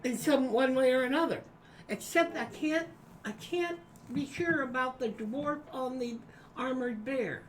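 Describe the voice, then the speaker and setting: A woman's voice: high-pitched, unhurried. A woman playing a tabletop game, talking in a casual, hesitant way with many ums and uhs. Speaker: player. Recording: distant from the mic.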